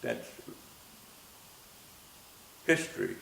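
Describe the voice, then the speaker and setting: A man in his nineties, from Austria, reminiscing gently and haltingly in an interview, often repeating words as he recalls. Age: 90s. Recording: roomy echo with faint hiss.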